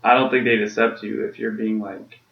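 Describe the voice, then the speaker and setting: A man's voice: on the low side, flat delivery, brisk, creaky, a crowd in the background. A young man co-hosting a casual chat between friends, hesitant and often trailing off mid-sentence. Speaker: podcast co-host. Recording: roomy lo-fi audio from off mic.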